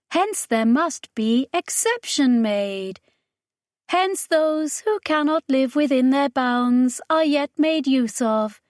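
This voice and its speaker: A woman voicing a solemn alien crime lord who speaks in measured, grandiose, chanting oratory for an audiobook. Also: sits high, no room sound, clean studio sound, voice pushed up, exaggerated voice.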